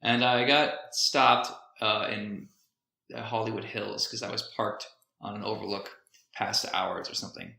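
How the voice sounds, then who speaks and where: Gravelly man chatting in a roomy space. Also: on the low side, steady tone, measured pace, pushed and bright. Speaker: podcast co-host. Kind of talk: casual conversational storytelling. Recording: roomy echo.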